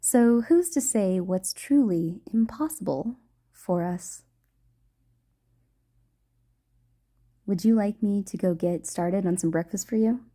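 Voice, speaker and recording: Casting: female actor for tender ghost girlfriend, very mellow and slow, shy playfulness, formal halting speech, intimate audio roleplay. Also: medium pitch, lightly acted. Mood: tender, playful. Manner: soft, hesitant, formal wording with halting stammers.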